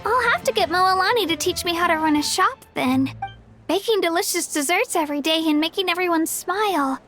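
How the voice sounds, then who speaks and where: Earnest little girl for a video game: girlish, very high-pitched, hopeful, soft music underneath. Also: lively intonation, a little fast, heavily acted. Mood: hopeful, sweet. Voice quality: childlike, girlish. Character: sweet, earnest young girl who loves baking. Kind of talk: video game character acting. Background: faint music.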